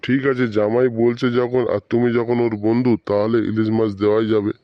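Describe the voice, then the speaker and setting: A man acts out a middle-aged fish merchant in a cartoon comedy, a shrewd father-in-law with a mid-pitched voice. He talks firmly, all business. The sound is very dry and a little muffled. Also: little pitch movement, brisk, no echo, close to an everyday voice. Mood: shrewd, measured. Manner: firm, businesslike.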